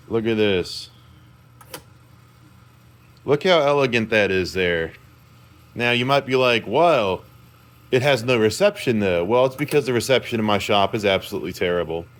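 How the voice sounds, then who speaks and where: A man giving a casual, rambling demonstration in an informal, self-assured way, like a hobbyist vlogger. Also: medium pitch. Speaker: vlogger showing a repaired vintage car radio. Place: repair shop, indoors.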